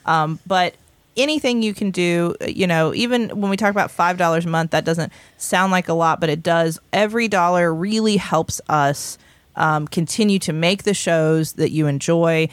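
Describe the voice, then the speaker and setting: A woman hosting a podcast, chatting casually through a fundraising pitch with restarts and light laughs. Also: moderate pace, no room sound. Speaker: podcast host. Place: small recording studio.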